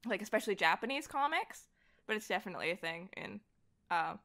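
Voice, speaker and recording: A woman chatting casually as an interview guest, animated, giggly and self-deprecating about herself. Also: mid-range voice, fast. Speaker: podcast guest, voice actor and director. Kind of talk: casual interview chat about comics and horror.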